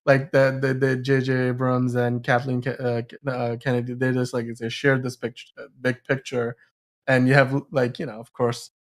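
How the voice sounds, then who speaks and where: A man's voice: medium pitch, little pitch movement, normal speed. A man hosting a podcast in a casual, rambling, chatty way, talking through entertainment news. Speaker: host of a film news podcast.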